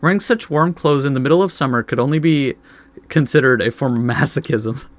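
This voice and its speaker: A man's voice: mid-range voice, a little fast, dry recording. A man with a mid-range voice reads a visual novel aloud as a let's play host. His delivery is informal, slipping between narration and offhand commentary and correcting his stumbles. The sound is dry, with little room on it. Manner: informal, stumbles over the text and corrects himself.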